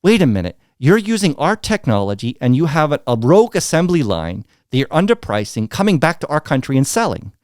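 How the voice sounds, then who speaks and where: A middle-aged American man telling candid business stories as an interview guest, blunt and animated, acting out what others said. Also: on the high side, fairly quick, hardly any pauses, no room sound, studio quality. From United States. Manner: blunt, animated, acts out quoted dialogue.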